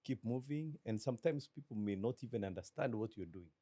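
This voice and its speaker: A middle-aged Kenyan man with a Kenyan accent, a business guest talking in a measured, reflective way and slipping into Swahili. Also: mid-range voice, expressive, mellow, no room sound. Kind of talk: relaxed interview talk.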